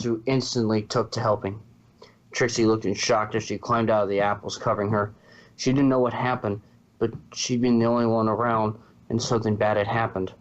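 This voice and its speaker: A man narrates a piece of fiction, reading it aloud. His voice sits fairly low. The home recording is somewhat dull and muffled. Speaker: fan fiction reader. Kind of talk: story narration, read aloud.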